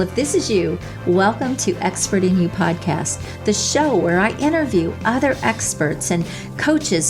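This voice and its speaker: A woman hosting a business podcast, upbeat and enthusiastic as she introduces her guest. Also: mid-range voice. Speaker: podcast host.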